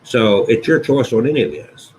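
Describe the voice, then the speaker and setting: A man who works as a wedding officiant, talking through the usual order of a ceremony in an unhurried, conversational way, often restating his points. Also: darkish tone, a little hiss or hum, poor audio. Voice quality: booming voice. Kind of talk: instructional talk to camera.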